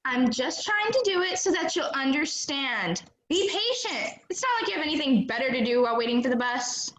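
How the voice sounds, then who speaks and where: A young woman in an amateur stage play, acting a melodramatic, chatty runaway high school senior who tells her story earnestly. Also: sing-song, moderate pace, fairly bright tone. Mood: indignant. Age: young adult. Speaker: student actor in a play. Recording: roomy, echoing sound.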